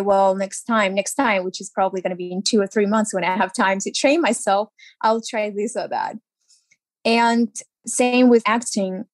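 Woman guest, lively and chatty. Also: medium pitch, dry recording. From Ukraine. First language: Ukrainian. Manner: lively, chatty, laughs easily. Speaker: guest, actress. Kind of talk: casual interview talk.

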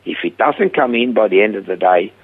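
A man's voice: fairly low pitch, fairly quick, breathy edge. An older man from Switzerland with a Swiss accent, telling a story as a radio interview guest and acting out the quoted voices. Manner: lively storyteller who acts out the quoted voices. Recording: narrow phone-like radio sound.

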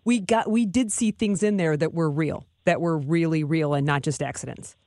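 A woman's voice: low voice, moderate pace, a little mellow, no echo. A woman chats casually as co-host of a sports radio show. She talks informally and rambles a bit from thought to thought, in a dry, close-sounding recording.

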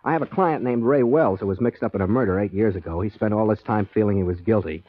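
An actor playing an affable, easygoing private detective in 1940s radio style, chatty and a little hesitant around women. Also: male voice, lowish voice, fast, close to an everyday voice. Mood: casual, matter-of-fact. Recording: tinny 1940s radio recording, music.

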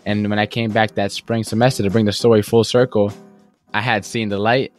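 A young man speaks in a fairly low register, earnest and fast-flowing. He leans on "you know" and "right?" as he tells his life story as an interview guest. A faint music bed plays underneath. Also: little pitch movement. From United States. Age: young adult. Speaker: guest entrepreneur.

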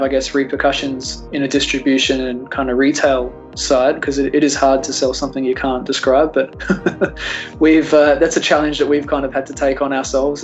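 A man speaking fluently in an interview, conversational and full of fillers like "you know" and "like.". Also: medium pitch. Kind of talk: interview answer. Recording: slightly dull, music underneath.

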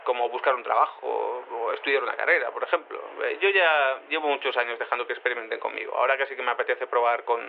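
A man's voice: mid-range voice, fast talker, vocal fry, hardly any pauses, narrow, tinny audio, slight background noise, close to an everyday voice. A man playing a naive, rambling quantum cat in human form, interviewed in an audio drama, speaking casually and hesitantly.

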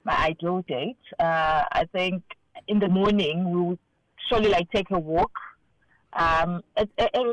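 A South African woman in her forties calling in to a radio show, speaking calmly and thoughtfully about what she wants. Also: fairly low pitch, measured pace, no room sound, low-quality recording. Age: 40s. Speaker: caller. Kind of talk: radio phone-in interview.

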